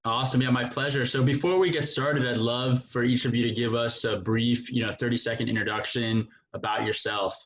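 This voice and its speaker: A man hosts a casual interview with an average male pitch. He is informal and affirming. The audio is slightly dull and lo-fi. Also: steady tone, brisk, few pauses, slight background noise. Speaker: interview host.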